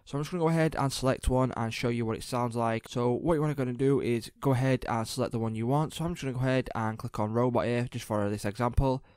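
Clear-voiced man giving a casual tutorial. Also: mid-range voice, quick pace, hardly any pauses, a little mellow, no room sound, clean studio sound. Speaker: tutorial presenter. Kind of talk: casual step-by-step software tutorial voice-over.

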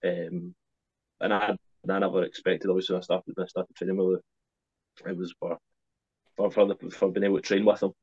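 Deep flat-voiced young man. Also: moderate pace, long pauses, breathy edge, no room sound. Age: young adult, 19. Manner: hesitant, many ums and restarts. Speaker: wrestler, guest. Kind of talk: casual interview answers. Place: small room at home. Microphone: webcam on a video call. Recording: slightly dull lo-fi call audio.

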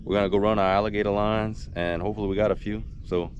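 A middle-aged man talks in a relaxed, plainspoken way, telling the day's story outdoors. His voice sits a little low. It is caught on a handheld camera held at arm's length. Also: fast talker, lo-fi sound, slight background noise. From Louisiana. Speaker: outdoors vlogger. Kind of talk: casual outdoor vlog. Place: outdoors, lakeside dock and open shed.

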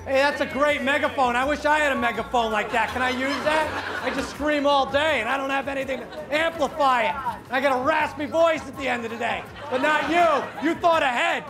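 Pushy high-voiced man shouting street compliments. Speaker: comedy street prankster. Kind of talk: street prank, loud mock compliments. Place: busy city sidewalk outdoors. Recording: outdoor street noise with music and laughter.

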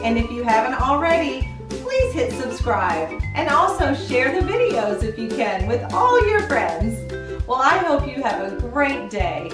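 A middle-aged woman hosts a playful counting activity for small children in a highish, lively voice that rises and falls in a sing-song way. She often puts questions to her listeners. The mic sounds distant, with music behind her. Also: a little slow, reverberant. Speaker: children's read-aloud host.

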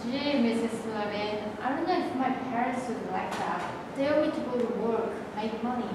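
A woman acting a sweet, high-voiced young sweetheart. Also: animated, normal speed, natural voice. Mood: polite. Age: young adult. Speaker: actor in a staged reading. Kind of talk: live staged reading of a stage play. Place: large bare indoor room. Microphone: far camera. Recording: echoey hall, distant camera microphone, noisy.

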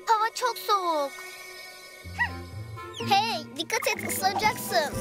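A woman voicing an earnest, expressive little baby girl in a dubbed children's cartoon. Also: extremely high pitch, full character voice. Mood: surprised. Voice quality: childlike, small voice. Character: little baby girls in a cheerful cartoon adventure. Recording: studio dub under children's music.

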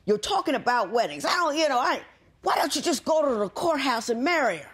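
A woman's voice: high-pitched, big pitch swings, fairly quick, husky. A middle-aged woman presiding as a TV court judge, questioning the parties in a blunt, folksy way in a courtroom set.

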